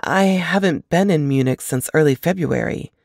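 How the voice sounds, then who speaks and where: A woman voicing a weary, apologetic, ailing younger woman in an audiobook, speaking in a subdued, halting manner. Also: low-pitched, vocal fry, a little mellow, dry recording, studio quality. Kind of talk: audiobook character dialogue.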